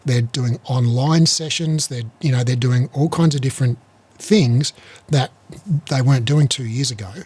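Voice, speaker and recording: A man's voice: mid-range voice, normal speed, a little mellow, breathy edge. A man in his fifties chatting casually as a podcast host, blunt and sweary, often restarting his phrases. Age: 50s. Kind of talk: casual chat.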